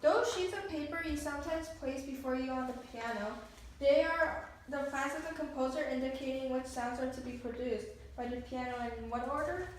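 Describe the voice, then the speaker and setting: A woman's voice: high voice, brisk, natural voice. A woman playing a polite, literal robot in readers theatre, speaking in formal, deferential phrases. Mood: curious, puzzled. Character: polite, literal, eager-to-learn household robot. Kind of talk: amateur readers theatre performance. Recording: distant mic, very echoey room, faint noise.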